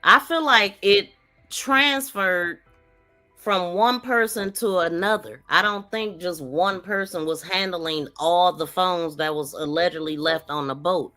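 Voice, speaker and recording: Slow-paced, bright-voiced woman podcast host. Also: on the low side, soft background music. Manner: opinionated, questioning. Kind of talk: casual true-crime live commentary.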